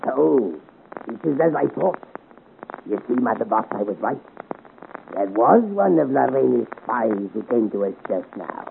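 An actor playing a sly, fawning old rogue with a creaky voice, in a theatrical 1950s radio serial on a thin, noisy recording. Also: male voice, mid-range voice, animated, a little slow, phone-quality sound. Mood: sly, boastful. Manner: obsequious, theatrical.

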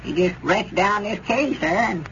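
An actor playing a gullible old country storekeeper with a rural Southern American accent, rambling and repeating himself in 1930s radio comedy style. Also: male voice, sits high, lively intonation, measured pace, vocal fry, fairly bright tone, heavily acted. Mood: earnest, chatty. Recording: dull, noisy 1930s radio recording.

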